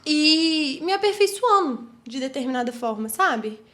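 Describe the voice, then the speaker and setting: A young woman in her twenties with a Brazilian accent, hosting a reflective solo podcast in a chatty, animated way. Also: high-pitched, a little slow. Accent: Brazilian Portuguese. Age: 20s. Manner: chatty, animated, many fillers and restarts. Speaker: podcast host.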